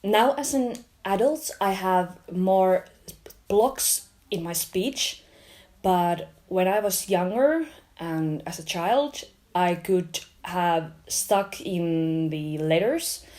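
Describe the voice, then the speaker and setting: A woman talking about her stutter, halting with blocks and "uh" pauses. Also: lowish voice, a little mellow. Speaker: community member sharing experience. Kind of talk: personal testimony to camera.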